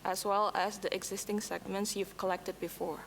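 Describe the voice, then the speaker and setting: A woman whose first language is Indonesian, presenting a scripted software demo at a conference, with a few slips and restarts. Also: medium pitch, little pitch movement, moderate pace, a little hiss or hum. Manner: reads prepared lines, occasional slips and restarts. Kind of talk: scripted product demo talk. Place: conference hall. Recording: long hall reverb, faint ambience.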